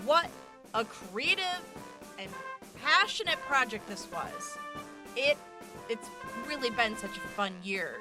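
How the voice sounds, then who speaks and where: A woman voice actor giving a heartfelt, grateful thank-you, with halting restarts. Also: on the high side, expressive, over music. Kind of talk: casual thank-you message.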